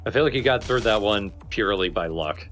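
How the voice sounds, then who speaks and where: A man makes casual remarks as co-commentator on a gaming video. His voice is on the low side. Game music plays softly behind him. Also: animated, fairly quick. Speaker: let's play co-commentator.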